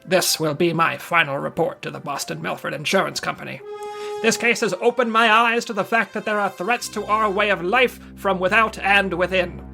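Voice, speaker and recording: An actor playing a weary, wry and decisive hardboiled investigator in an old-time radio drama. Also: male voice, sits high, normal speed, creaky, pushed and bright, soft background music, exaggerated voice. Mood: resolute narration. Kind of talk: old-time radio detective drama, first-person report narration.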